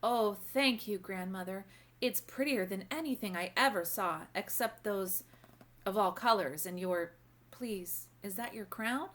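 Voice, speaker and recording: A woman's voice: mid-range voice, unhurried, fairly bright tone, lightly acted. A woman voicing a sweet, curious and polite little princess in a storybook read-aloud, exclaiming and asking wide-eyed questions. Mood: delighted, curious.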